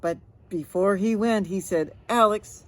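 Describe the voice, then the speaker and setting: A woman reads a children's story aloud at a very unhurried pace. Her voice sits a little low, and she draws words out expressively, dropping in chatty asides about the pictures. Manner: expressive, drawn-out words and sound effects. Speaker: storyteller reading a picture book.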